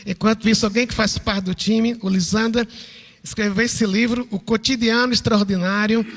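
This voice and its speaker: Brazilian male pastor, high voice, introducing guests to a congregation over a reverberant church sound system. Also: fairly even intonation, normal speed, a bit bright. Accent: Brazilian Portuguese. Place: large church hall, speaking to congregation.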